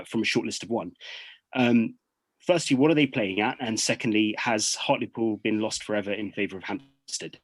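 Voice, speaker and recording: A man asking a measured question in a live discussion, with frequent ums. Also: lowish voice, no room sound. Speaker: audience member asking a question.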